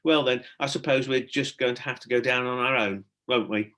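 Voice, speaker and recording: A man's voice: mid-range voice, fairly even intonation, brisk, fairly bright tone, natural voice. A man voicing a bold, bossy young girl adventurer in a children's storybook, calling out and exclaiming. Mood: matter-of-fact.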